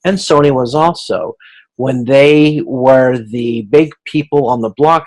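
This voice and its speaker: Man lecturing in an explanatory, unhurried way. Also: mid-range voice, low-quality recording. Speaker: instructor, college lecturer. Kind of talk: explanatory online lecture, semi-improvised. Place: video conference recording.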